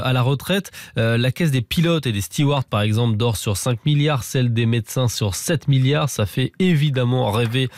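A man reading a morning radio newscast, brisk and with some hesitations and restarts between items. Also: medium pitch, a little mellow, dry recording, clean studio sound. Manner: brisk, with frequent fillers and restarts. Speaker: news anchor. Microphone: studio microphone.

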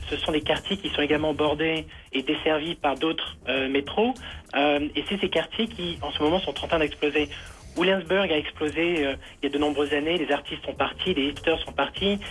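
A man's voice: a little high, a bit bright. Hesitant French man on radio. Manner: hesitant, many fillers and restarts. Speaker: guest, property renovator in Brooklyn. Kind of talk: radio interview answers.

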